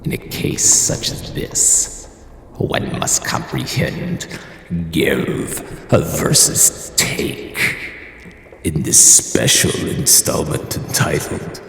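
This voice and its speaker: A man's voice: extremely low pitch, wildly swooping pitch, drawn-out words, creaky, a bit bright, heavily acted. A man playing a sinister, theatrical horror-show host, ominous and grandiose, with a whispery delivery in an audio anthology introduction. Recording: cavernous echo with reverb and hiss.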